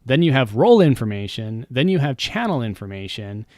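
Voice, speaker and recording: A man's voice: mid-range voice, very animated, a little fast, darkish tone, no room sound, studio quality. A man chatting casually on a game stream, rambling and wry, often trailing off with um and uh.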